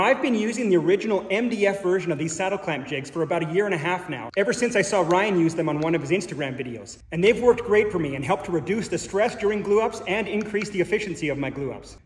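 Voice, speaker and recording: A middle-aged man with a fairly high voice explains a woodworking tool, step by step and upbeat. He talks from a large workshop, and the room rings around him with a long reverb tail. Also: expressive, quick pace, hardly any pauses. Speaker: woodworking channel host. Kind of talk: scripted product demonstration. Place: large woodworking shop indoors. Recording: echoey shop reverb.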